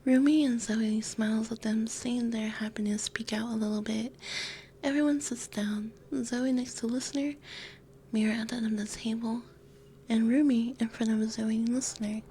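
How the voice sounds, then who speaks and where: Soft, breathy woman narrating ASMR. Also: on the high side, fairly even intonation, normal speed. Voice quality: breathy, often whispered. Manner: gentle, soft-spoken. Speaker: ASMR storyteller. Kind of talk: scripted ASMR story narration. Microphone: close binaural microphone. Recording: faint background noise.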